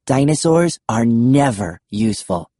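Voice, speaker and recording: A man's voice: mid-range voice, animated, measured pace, mellow, no room sound, clean studio sound. A man voicing a curt, impatient, bossy young woman with a clipped, dismissive manner in a storybook. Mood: scornful.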